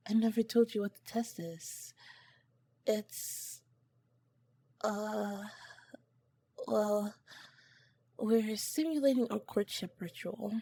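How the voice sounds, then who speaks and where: An earnest female alien scientist, played by a woman, speaks softly and slowly in an ASMR roleplay. Her breathy voice carries formal, clinical phrasing, broken by shy, flustered hesitation. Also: medium pitch, no echo. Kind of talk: ASMR roleplay, soft-spoken to the listener.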